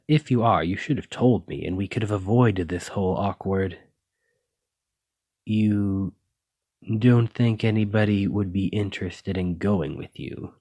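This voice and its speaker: A man's voice: fairly low pitch, a little mellow, dry recording. A man playing a flirty, possessive dragon-blooded librarian in an intimate ASMR roleplay, with a teasing, coy way of drawing out his phrases. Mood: coaxing.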